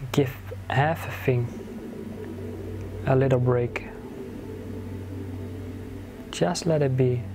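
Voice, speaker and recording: A man leading a guided meditation in a soothing manner, giving gentle instructions in short phrases with long pauses between them. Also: medium pitch, fairly even intonation. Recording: clear background noise, ambient sound.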